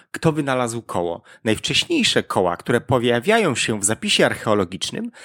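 A man's voice: a little high, a bit bright, breathy edge, no room sound, studio quality. A man hosting an educational podcast, reading a scripted narration and explaining things directly to the listener. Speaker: podcast host.

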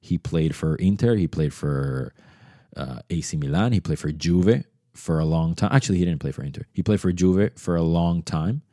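A man in his thirties with a very low, mellow voice, from Venezuela. He hosts a solo podcast and thinks out loud in a rambling, reflective way. He is recorded close and dry on a podcast mic. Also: moderate pace, dry recording. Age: 30s. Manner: reflective, rambling, frequent restarts and asides. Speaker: podcast host. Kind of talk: casual solo podcast monologue.

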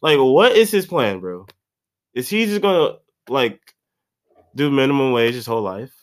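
A man's voice: mid-range voice, very animated, normal speed, lightly acted. A young man voicing a nosy, judgmental relative who scornfully questions his plans.

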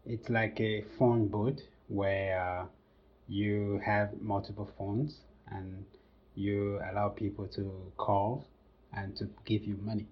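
Low husky-voiced Cameroonian man. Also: level tone. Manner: measured, many "uh" pauses. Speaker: podcast guest. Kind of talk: casual interview storytelling. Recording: dull lo-fi sound.

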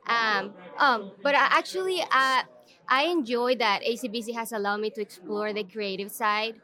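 A young woman from El Salvador, speaking English as a guest in a casual interview, earnest and quick to laugh, with frequent "um" pauses. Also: a little high, sharp tone. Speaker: guest, ministry content manager.

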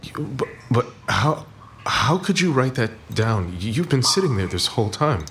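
A man's voice: mid-range voice, sing-song, normal speed, fairly bright tone. A man acting a domineering, scheming crime boss, in a hard-boiled comic audio drama. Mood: flustered, afraid. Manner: theatrical, commanding. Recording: faint background noise.

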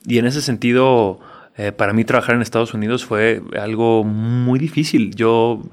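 A man's voice: mid-range voice, moderate pace. Laid-back Mexican man, frank and slangy. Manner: relaxed, frank, slangy, with frequent "¿no?" tags. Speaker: actor and guest. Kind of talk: casual interview chat.

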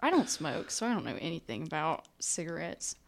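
Giggly, lively young woman. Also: deep voice, a little fast, vocal fry, a crowd in the background. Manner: giggly, laughs often. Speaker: podcast co-host. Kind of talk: casual chat.

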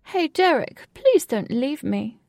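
A woman's voice: high voice, sing-song, slow, vocal fry, a little mellow, dry recording, studio quality, pitched above the performer's own voice, heavily acted. A woman voicing a giant fat talking cat in a storybook, sweet, lonely, affectionate and earnest. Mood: plaintive. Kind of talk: storybook character voice.

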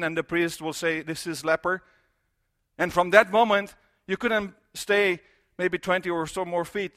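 A middle-aged man preaches a sermon in English, his voice pitched high for a man. His tone is bright and forceful. He drives home each point by stacking repeated phrases and rhetorical questions. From Romania.

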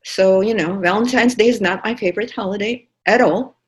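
A woman's voice: mid-range voice, a little fast. A woman in her fifties with a Russian accent, wryly telling her personal story on a podcast with many fillers. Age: 50s. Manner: candid, wry, many fillers. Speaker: podcast producer and guest.

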